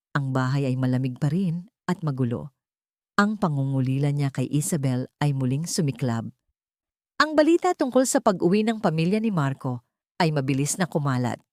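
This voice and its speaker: A woman's voice: sits low, warm tone, dry recording, studio quality. A woman reading a scripted dramatic story as its narrator.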